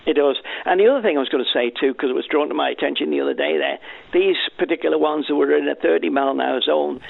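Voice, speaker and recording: A man's voice: fairly high pitch, fast, creaky, dry recording. A man calling a radio phone-in, chatty and joking. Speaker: caller. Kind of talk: phone-in call, casual chat. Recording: dry tinny phone line.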